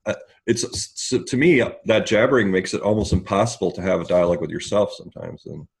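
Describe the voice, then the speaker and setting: A man speaking candidly and self-deprecatingly in a group conversation, halting and restarting as he reflects. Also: fairly low pitch, gravelly, slightly rough. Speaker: discussion participant.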